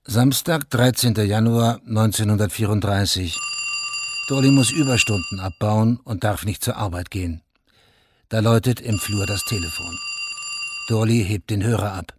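A man narrating a radio drama from a script in a factual, measured, documentary-like way. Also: lowish voice, noisy background. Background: music.

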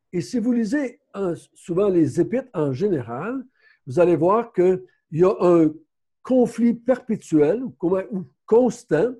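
High, husky Québécois man teaching. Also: mellow. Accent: Québécois French. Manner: conversational, frequent self-corrections. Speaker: Bible study teacher. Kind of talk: casual Bible teaching.

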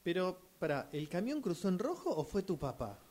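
Un hombre con acento argentino que interpreta en un radioteatro a un amigo preocupado, informal y algo titubeante. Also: male voice, sits high, very animated, a little mellow, slight background noise. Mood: questioning. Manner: informal, halting. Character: concerned male friend offering condolences and asking questions. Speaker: radio drama workshop participant. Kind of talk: rehearsed radio drama acting.

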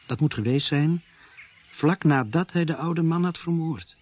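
An actor narrating a mystery radio play as a serious storyteller, in a measured, scripted way. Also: male voice, a little high, a little mellow, natural voice. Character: measured male first-person narrator of a murder mystery. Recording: faintly noisy radio play soundtrack.

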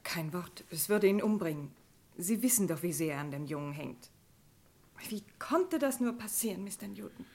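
A woman's voice: lowish voice, expressive, moderate pace, darkish tone. An actress playing a loyal, worried woman who guards a patient in a vintage radio crime drama, polite and anxious. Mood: polite concern.

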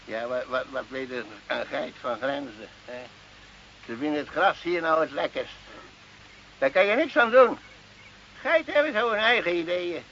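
A man's voice: fairly high pitch, animated, moderate pace, fairly bright tone. An actor playing a sly, rambling old male goatherd in a radio drama, stammering, repeating himself and chuckling. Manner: stammering, repetitive, frequent chuckling and questioning tags. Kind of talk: Dutch radio drama acting. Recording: muffled old radio recording, faint noise.

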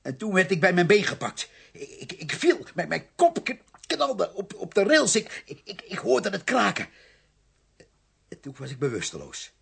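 An actor playing a confused, frightened drifter in a radio play, halting and stammering over repeated words in a husky, creaky voice. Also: male voice, high-pitched, huge pitch swings, fairly bright tone, heavily acted. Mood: frightened, haunted. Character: confused, frightened male drifter confessing to a killing. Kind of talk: Dutch radio play acting.

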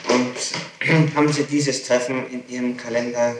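A man's voice: medium pitch, flat delivery, a little slow. A man questioning someone in a recorded interrogation, probing and pressing for dates and details, on an old tape. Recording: echoey, noisy 1960s tape recording.

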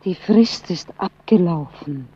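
A woman's voice: fairly low pitch, lively intonation, takes it slowly, mellow, husky, full character voice. An actress playing an earnest young lady who urges and probes solemnly, in old radio drama style. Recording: dull, band-limited old radio recording.

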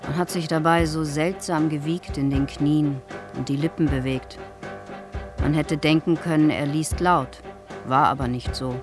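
A man's voice: a little high, fairly even intonation, a little slow, natural voice. An actor playing a plain-spoken male theatre porter giving a witness statement in a radio drama, in clipped, colloquial fragments. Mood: recollecting. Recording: prominent guitar music underneath. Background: guitar music.

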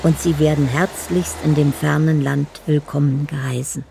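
An actress playing a dreamy, lovelorn woman in a radio play, speaking poetic love letters in a deliberate, solemn way. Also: low voice, fairly even intonation, gravelly, mellow, a little hiss or hum. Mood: tender, solemn. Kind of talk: radio play inner monologue.

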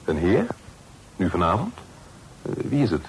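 Reserved young gentleman, radio play. Emphatic, courteous, lowish pitch; old recording with faint hiss and rumble. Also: huge pitch swings, normal speed, poor audio. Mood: irritated. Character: courteous, principled, somewhat impatient young gentleman.

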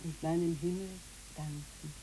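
A woman's voice: low voice, fairly even intonation, a little slow, mellow, a little hiss or hum, rough recording. An actress playing a dreamy, eccentric boat-rental woman in a literary radio play, musing and then giving orders. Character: dreamy, eccentric woman who rents out boats.

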